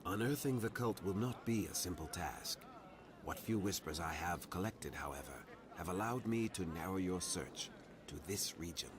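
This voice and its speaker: An actor playing a grave, formal man who gives out quests in a fantasy game, with measured, old-fashioned phrasing. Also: low-pitched, animated, noisy background. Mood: grave, earnest. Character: grave, formal man directing a hunt for cultists. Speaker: quest-giving game character. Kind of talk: scripted fantasy game dialogue.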